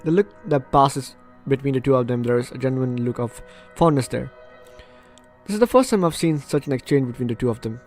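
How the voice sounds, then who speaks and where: A man's voice: mid-range voice, fairly quick, a little mellow. A man hosting a let's play, reading a visual novel aloud and breaking off into chatty, reactive asides. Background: faint game music.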